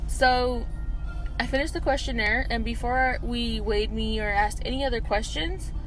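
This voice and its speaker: A woman's voice: fairly high pitch, fairly even intonation, moderate pace, faint music behind, rough recording. A woman in her thirties telling a personal story in a casual, candid vlog style, with informal, slangy phrasing. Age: 30s. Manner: candid, informal, slangy, acts out remembered dialogue. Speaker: vlogger.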